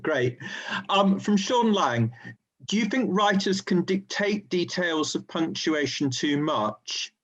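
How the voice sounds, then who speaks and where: A man's voice: sits high, expressive, slow pace, fairly bright tone. A man hosting an informal webinar and relaying questions from the audience, heard over a dull, lo-fi online call.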